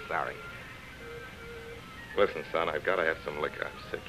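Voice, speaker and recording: A man's voice: rumbling low, steady tone, normal speed. A man acting a vain, drunken, washed-up actor in a 1930s radio drama, grandiose and theatrical. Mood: desperate pleading. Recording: muffled vintage radio, music behind.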